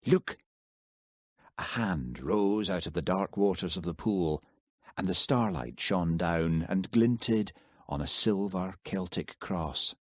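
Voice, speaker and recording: Guy reading an audiobook, low voice, calm and steady, dead dry studio. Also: no echo. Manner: steady, measured storytelling. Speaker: audiobook narrator. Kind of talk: scripted narration.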